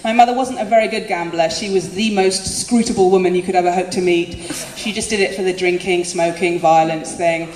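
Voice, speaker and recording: A woman's voice: lowish voice, fairly even intonation, brisk, hardly any pauses, darkish tone, soft background music. A woman performing spoken word live, chatty and self-deprecating, often laughing between lines. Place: live concert venue. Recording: echoey hall with faint room noise.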